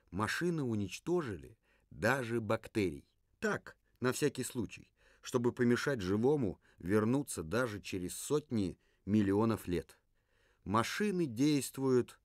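A man's voice: mid-range voice, dry recording, clean studio sound. A man voicing a male puppet survivor from a ruined future, who talks in an earnest, lecturing way and sometimes hesitates. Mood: warning. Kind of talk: puppet theatre performance.

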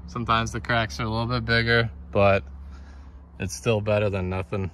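Low-voiced man casually explaining his carving. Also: moderate pace, slight background noise. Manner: relaxed, matter-of-fact explaining. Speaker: chainsaw carver. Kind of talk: casual workshop vlog narration. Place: outdoor yard by a workshop.

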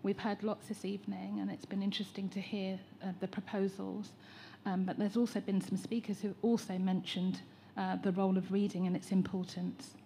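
A woman with an English accent, an educator, giving a measured prepared statement at a public meeting. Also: mid-range voice, level tone, creaky, warm tone, slight background noise. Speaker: educator and teacher trainer. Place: large hall at a public meeting.

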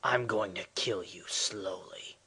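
A man's voice: lowish voice, slow pace, sharp tone, husky, heavily acted. A man playing a smug, menacing military villain in a comedic cartoon parody, sneering and sardonic.

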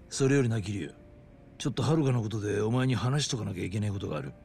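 A male actor playing a seasoned older detective in a video game, gruff, informal and pragmatic, with a gravelly voice. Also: on the low side, expressive, normal speed, dark tone, soft background music. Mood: serious. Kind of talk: dramatic video game acting.

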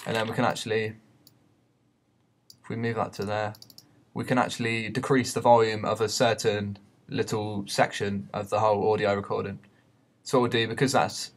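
A man giving an unscripted software tutorial in a hesitant, explanatory way. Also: on the low side. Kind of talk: unscripted screen-recorded tutorial. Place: voice-over for a screen recording.

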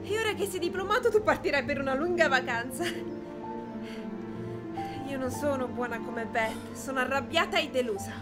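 A woman's voice: high voice, normal speed, loud music behind the voice, natural voice. A woman voicing a headstrong, anguished young woman in a dubbed period drama, pleading and insistent. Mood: tense, disappointed. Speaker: amateur dubbing voice actor. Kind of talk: emotional film dubbing in period drama.

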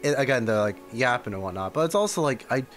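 A young man chats to his live stream while playing a game. His delivery is lively and rambling, jumping around in pitch, with faint game music behind him. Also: fast talker. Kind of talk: casual live gameplay reaction. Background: video game music.

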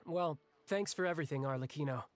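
A man's voice: a little high, fast talker, dry recording, lightly acted. A man playing a cocky, friendly young warrior in an anime video game, with an easygoing, playful and casual manner.

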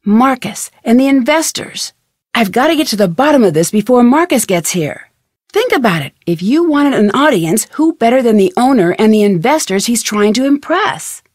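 A woman's voice: a little high, big pitch swings, normal speed, darkish tone, dry recording, studio quality. A young woman voicing a friendly, curious, plucky amateur detective in a video game, with earnest, conversational delivery. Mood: earnest, determined.

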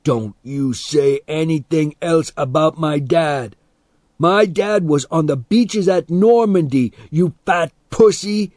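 A man voicing a defiant, mouthy young boy in a story, jeering and shouting to pick a fight. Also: on the low side, very slow, few pauses, no echo, clean studio sound. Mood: angry.

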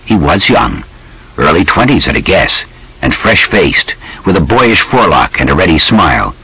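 A man narrating a historical novel audiobook in an expressive, animated storytelling manner. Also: deep voice, normal speed, husky, rough recording.

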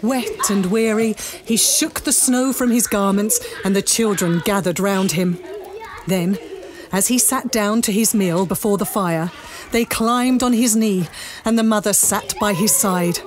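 A woman's voice: medium pitch, unhurried, slight background noise. A woman, narrating a story in a dramatic storybook style.

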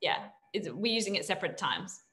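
A woman co-hosting a podcast, asking quick follow-up questions in a casual, inquisitive way. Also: lowish voice, sing-song, bright, forceful tone.

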